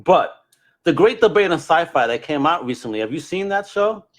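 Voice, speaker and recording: Male podcast host, slightly high register, expressive, slangy, informal conversational delivery. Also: moderate pace. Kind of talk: casual chat.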